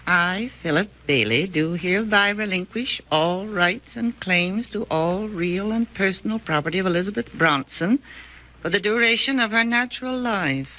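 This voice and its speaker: An actress playing a skeptical, blunt and protective housekeeper in a 1940s radio thriller. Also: female voice, medium pitch, lively intonation, normal speed, sharp tone. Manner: blunt, wry, suspicious. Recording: noisy old 1940s radio recording.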